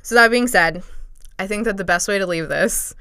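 A woman's voice: medium pitch, moderate pace, fairly bright tone, smooth, dry recording, studio quality. A young woman presenting a topic on a casual chat podcast, chatty with lots of fillers and frequent laughter.

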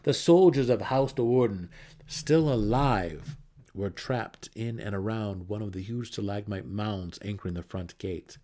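A man's voice: lowish voice, a little slow, dark tone. A man reading a fantasy novel aloud as a dramatic audiobook narrator.

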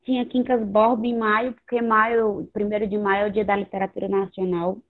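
A hoarse woman with a Northeastern Brazilian accent co-hosting a casual book podcast, blunt, slangy and given to swearing. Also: mid-range voice, little pitch movement, moderate pace. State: hoarse from two days of partying. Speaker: podcast co-host. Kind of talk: casual chat about books. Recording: tinny phone-like line.

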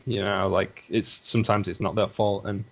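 A young man with a thick Lancashire accent talks in a low register, musing aloud in loose, rambling sentences. He hedges constantly with little fillers as he thinks things through. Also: level tone, normal speed, vocal fry, poor audio. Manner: rambling, self-reflective, hedges with "you know". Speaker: podcast guest, assistant psychologist. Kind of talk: casual conversation.

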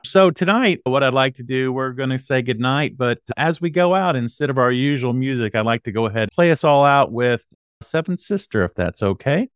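A man's voice: medium pitch, animated, fairly quick, clean tone, no echo, studio quality. A man hosting a casual interview show in a conversational style. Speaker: show host.

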